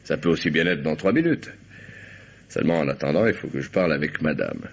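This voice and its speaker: Deep-voiced cynical dealer, dull old recording. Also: male voice, lively intonation, fairly quick, gravelly, husky, heavily acted. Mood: falsely soothing. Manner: slangy, domineering, falsely soothing. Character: cynical, bullying male drug dealer, smooth-talking schemer. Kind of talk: French radio crime drama acting.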